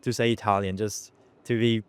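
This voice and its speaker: A man's voice: lowish voice, little pitch movement, normal speed, clean tone, no room sound. A man from Colombia whose first language is Spanish, a student speaking fondly about languages. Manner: warm, fond of languages. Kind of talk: casual interview answers.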